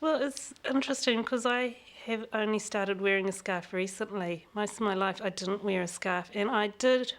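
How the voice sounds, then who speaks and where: A woman with a New Zealand accent talks frankly as an interview guest. She sounds candid and reflective, and she laughs easily. Her voice sits at a middle pitch for a woman. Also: moderate pace, few pauses. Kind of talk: frank personal conversation.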